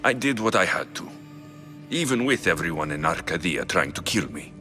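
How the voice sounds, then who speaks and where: An actor playing a bold, confident young Greek mercenary in a video game, speaking assertively and dramatically. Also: male voice, sits low, lively intonation, moderate pace, pushed and bright, faint music behind. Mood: resolute. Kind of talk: video game cutscene acting.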